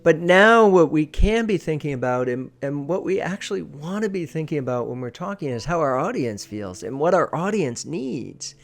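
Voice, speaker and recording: A man's voice: on the high side, big pitch swings, moderate pace, creaky, few pauses, faint music behind. A man giving an informal instructional talk as a presentation coach, stressing his points emphatically and repeating key phrases.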